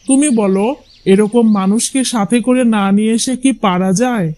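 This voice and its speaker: A man's voice: sits high, normal speed, warm tone, close to an everyday voice. A man voicing a chatty, opinionated husband in a cartoon folk tale, with a coaxing manner. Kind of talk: Bengali cartoon fairy-tale voice acting.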